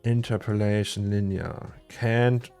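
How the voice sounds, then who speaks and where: A low, gravelly man with a German accent talks his way through a fiddly software problem on a livestream. He repeats words as he thinks and chuckles between thoughts, with soft music playing behind him. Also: steady tone, slow. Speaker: 3D artist streaming his work. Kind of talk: casual livestream chat while working.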